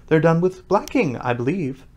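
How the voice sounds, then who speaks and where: Man voicing a high, curious little girl. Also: very animated, normal speed, a little mellow, muffled recording. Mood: wondering. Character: curious, polite, slightly timid young girl. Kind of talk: storybook character voice.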